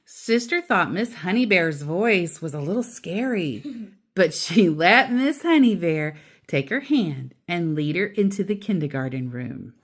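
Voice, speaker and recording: A woman storyteller reading a children's book aloud with expressive, deliberate, emphatic phrasing and casual asides. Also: on the low side, slightly rough.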